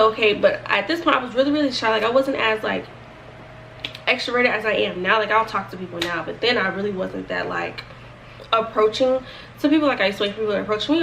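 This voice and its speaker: A woman's voice: mid-range voice, vocal fry, a bit bright, distant mic, slight background noise, rough recording. A young woman in her early twenties telling a casual storytime, rambling and chatty with many fillers and restarts. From Florida, United States. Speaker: vlogger.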